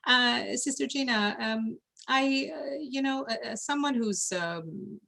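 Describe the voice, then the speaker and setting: A woman's voice: on the high side, slow, vocal fry, sharp tone. A woman from Iran moderating an online meeting, speaking hesitantly with many fillers. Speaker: event moderator. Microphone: video call.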